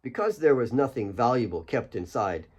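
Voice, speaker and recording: Guy reading out a novel, audiobook narration, medium pitch voice. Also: lively intonation, normal speed, darkish tone, husky. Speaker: author reading own audiobook. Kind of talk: scripted narration, audiobook storytelling.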